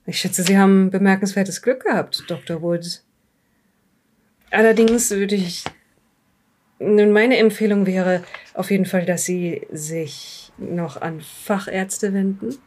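A woman playing a composed, formal police psychological evaluator in an audio drama, speaking in measured, careful phrases and politely dismissive. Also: mid-range voice, steady tone, a little mellow, a little hiss or hum, natural voice. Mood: clinical, measured. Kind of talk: improvised audio drama dialogue.

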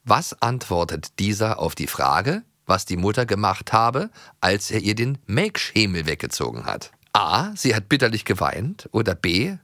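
A man chats as a podcast co-host, playful and enthusiastic. His pitch moves around a lot, and the sound is close and dry. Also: sing-song, moderate pace, few pauses, sharp tone, no room sound. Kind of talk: casual chat.

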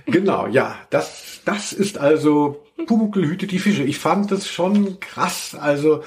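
A man's voice: a little high, expressive, a little slow. A man chatting casually and humorously as a podcast host, with wry, joking asides. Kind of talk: casual humorous podcast chat about audio dramas.

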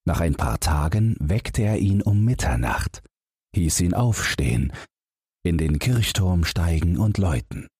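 A man's voice: low voice, big pitch swings, a little slow, a little mellow, breathy edge, no room sound, studio quality. A man reading a scripted fairy-tale audiobook narration for bedtime. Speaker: audiobook reader.

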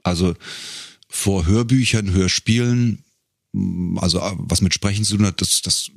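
A man's voice: low voice, fairly even intonation, a little slow, gravelly, dry recording, studio quality. A middle-aged man talking casually and reflectively as an interview guest, feeling his way through phrases. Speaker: guest, professional voice actor.

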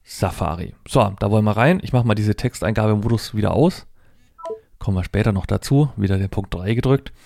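Male demo presenter, lowish pitch, dry sound, informal thinking-aloud explanations. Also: brisk, gravelly, darkish tone, no room sound. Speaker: presenter demonstrating assistive technology. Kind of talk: hands-on product demonstration, explanatory.

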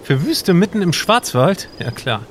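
A man's voice: high-pitched, very animated, measured pace, a bit bright, a little hiss or hum, pitched above the performer's own voice, exaggerated voice. A man playing a curious, sceptical time traveller in an amateur audio drama, casual and questioning. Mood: disbelieving.

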